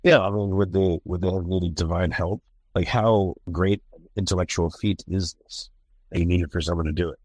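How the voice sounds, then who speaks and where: A man's voice: deep voice, fairly even intonation, moderate pace, a little mellow, dry recording. A man hosting a podcast, asking questions hesitantly and restarting them. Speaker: podcast host. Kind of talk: interview questions. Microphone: podcast microphone.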